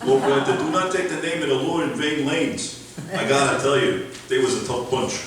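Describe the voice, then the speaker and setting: A man's voice: medium pitch, normal speed, natural voice. A man playing a dim, cocky male pro bowler in a live old-time radio comedy, talking in street slang and mangled words. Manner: streetwise slang, garbled malapropisms, hesitant when flirting. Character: dim, swaggering male pro bowler, tough-guy boxer parody. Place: library meeting room, live show. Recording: very echoey, distant mic, room noise.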